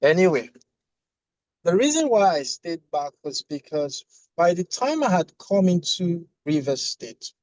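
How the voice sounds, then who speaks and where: A man from Lagos, a management consultant, speaking on a video call from his car in a measured, deliberate way. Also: on the high side, sing-song, darkish tone, no echo, rough recording. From Lagos, Nigeria. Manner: measured and deliberate, with a light joking touch. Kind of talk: panel discussion on a video call. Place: inside a car while driving.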